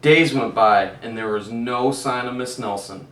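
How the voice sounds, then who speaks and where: A man who teaches PE reads a children's picture book aloud slowly, and now and then stops to ask the kids gentle questions. His pitch sits in the middle range. The room echoes, and he is some way from the mic. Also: far from the mic.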